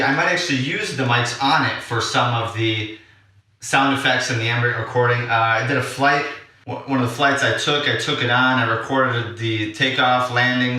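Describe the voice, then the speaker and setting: Guy doing a casual gear vlog, crisp bright voice, super echoey room, bit far from the mic. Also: mid-range voice. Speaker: audio drama maker presenting his equipment. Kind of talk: casual equipment vlog, unscripted explaining.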